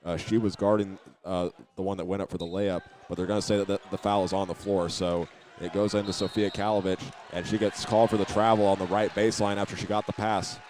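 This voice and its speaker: A man doing live play-by-play of a high school basketball game, sometimes restarting his phrases, with the sounds of the game behind him. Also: level tone, fast, darkish tone, slight background noise. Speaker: play-by-play announcer.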